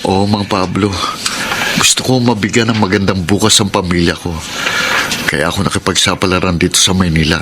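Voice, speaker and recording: A man's voice: deep voice, fairly even intonation, a little fast, very noisy, natural voice. An actor playing an earnest, polite married male worker in a radio drama, respectful and easily flustered. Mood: hopeful. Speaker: lead, a migrant worker. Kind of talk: classic radio drama acting.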